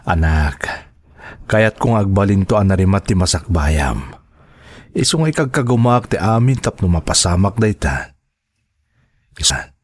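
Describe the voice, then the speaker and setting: A man playing a devoted, gentle father and dutiful son in a radio drama, speaking earnestly and coaxingly. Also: fairly low pitch, slightly rough, dry recording. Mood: serious, pleading.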